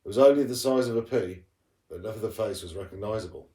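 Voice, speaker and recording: Echoey, low-voiced man reading a story aloud. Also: fast, dark tone. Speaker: primary school teacher reading to pupils. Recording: roomy echo, distant from the mic.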